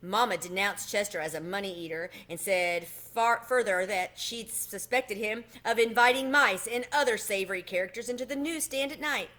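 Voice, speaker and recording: A woman reading a children's story aloud in an expressive narrating style. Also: mid-range voice, moderate pace, few pauses. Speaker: storybook reader.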